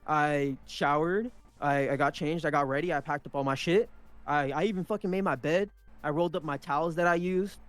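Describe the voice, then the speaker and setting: A man's voice: a little high, fairly quick, fairly bright tone, clear, resonant tone, soft background music. A young man, Punjabi-speaking by birth, telling a story in a casual podcast chat, with frequent restarts and swearing. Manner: rambling, many restarts and swearing. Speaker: podcast co-host.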